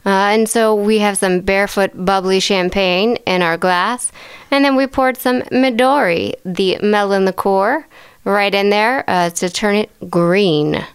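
A woman's voice: medium pitch, measured pace, smooth. A woman from Tennessee co-hosting a casual podcast, friendly, with frequent "uh" and "um". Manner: friendly, uses "uh" and "um" often. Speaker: podcast co-host. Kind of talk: casual chat.

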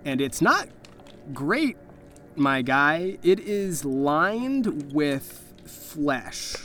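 Guy game mastering a horror rpg, regular pitched voice, telling the story casual and slangy. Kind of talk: improvised narration of a horror tabletop game.

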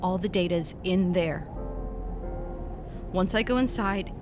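A woman's voice: fairly low pitch, slow. A woman playing a guilt-ridden, despairing scientist and parent in an audio drama. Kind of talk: naturalistic audio drama acting. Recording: music behind.

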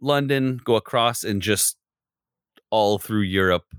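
A man's voice: on the low side, a little slow, clean tone, no echo, studio quality. An American man in casual conversation, talking in a rambling way and often breaking off mid-sentence. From United States. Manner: rambling, self-interrupting. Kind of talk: casual chat.

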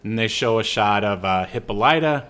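A man's voice: lowish voice, animated, normal speed, fairly bright tone, clean tone. Casual man hosting a film podcast. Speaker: podcast host reviewing films.

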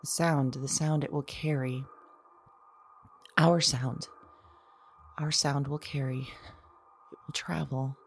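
A woman's voice: rumbling low, steady tone, slow pace, pauses often, slight background noise, exaggerated voice. A woman playing a frightened woman who whispers, repeats herself and breaks off mid-sentence. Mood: hushed. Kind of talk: audio drama monologue.

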